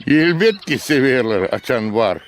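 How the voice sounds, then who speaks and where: A man's voice: medium pitch, expressive, moderate pace, slight background noise, lightly acted. An actor playing a patient grandfather in a cartoon, speaking with a Gagauz accent in an explanatory, reminiscing way. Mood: warm reminiscing. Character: patient grandfather out fishing with children. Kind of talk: Gagauz-language cartoon dialogue.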